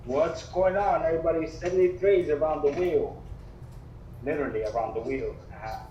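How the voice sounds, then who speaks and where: A man's voice: on the high side, fairly quick, warm tone, highs cut off. A man joining a casual video chat as a guest, easygoing and joking. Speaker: livestream guest. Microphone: video call. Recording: distant roomy video-call audio, faint hiss.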